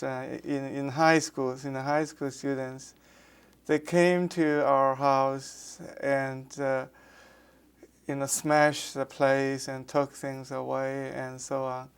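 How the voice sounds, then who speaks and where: A man in his sixties speaks English with a Beijing Mandarin accent, in an oral history interview. His voice is smooth and clear, and his delivery is slow and fairly flat. He pauses often, with many fillers and restarts. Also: mid-range voice. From Beijing, China. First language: Mandarin Chinese. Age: 60s. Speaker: architecture professor.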